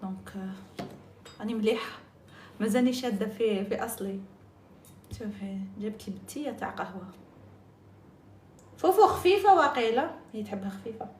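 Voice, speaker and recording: A woman's voice: mid-range voice, lively intonation, a little fast, long pauses, echoey room, a little hiss or hum. A woman with an Algerian accent chatting casually on a live stream, jumping between topics and mixing in French and English words.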